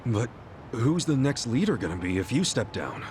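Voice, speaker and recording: A man's voice: medium pitch, very animated, moderate pace, darkish tone, slight background noise, close to an everyday voice. A man voicing an easygoing young hero who talks in a casual, joking way. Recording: game audio with music behind.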